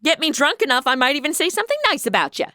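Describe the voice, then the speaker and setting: A woman's voice: sits high, sing-song, rapid-fire, sharp tone, no echo, clean studio sound, exaggerated voice. A woman playing a sarcastic modern wisecracker posing as a minstrel in a comedy audio drama, with casual slangy delivery. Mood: cheeky.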